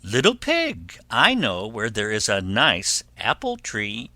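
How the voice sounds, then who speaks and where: A man voicing a sly, menacing storybook wolf who coaxes and blusters in a drawn-out, huffing delivery. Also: fairly low pitch, wildly swooping pitch, pushed and bright, no room sound, full character voice. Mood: sly coaxing. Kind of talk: children's fairy tale character voice.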